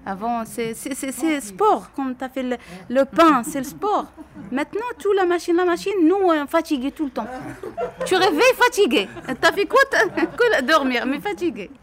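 A woman talking in broken, animated French, recorded during a museum visit. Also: high-pitched, moderate pace.

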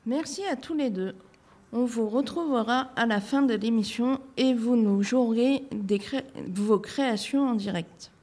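A woman radio presenter introducing guests in a clear, scripted announcing manner. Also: on the high side, unhurried, darkish tone.

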